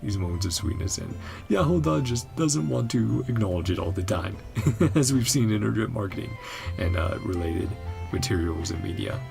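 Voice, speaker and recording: A man hosting a gaming reaction channel, chatting casually in a hesitant, meandering way with frequent soft chuckles. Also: on the low side, animated, normal speed, gravelly, music in the background. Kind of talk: casual chat, game reaction commentary.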